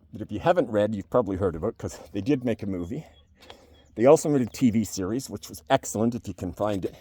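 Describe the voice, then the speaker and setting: A man's voice: medium pitch, mellow. A man talking casually and spontaneously to camera in a rambling, wry, jokey way, like a vlogger giving a review. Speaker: video blogger giving a book review. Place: on a farm among cows.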